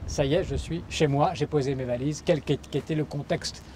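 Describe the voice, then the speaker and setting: A man's voice: a little high, normal speed, darkish tone, a little hiss or hum. Interviewer man with long, searching questions. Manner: long, searching questions, frequent murmured assent. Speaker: documentary interviewer.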